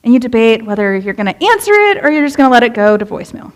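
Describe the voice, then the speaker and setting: A woman's voice: on the high side, very animated, fast. A young woman, a youth minister, preaching a casual, conversational sermon in a church and asking the congregation questions. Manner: chatty, relatable, asks the congregation questions. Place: church sanctuary.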